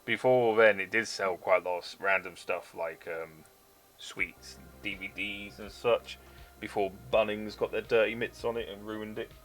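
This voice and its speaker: A man's voice: on the low side, steady tone, moderate pace, a bit bright, soft background music. A man in his thirties with a mixed British Forces English accent, chatting casually and swearing freely on a live stream. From England. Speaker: streamer building model kits.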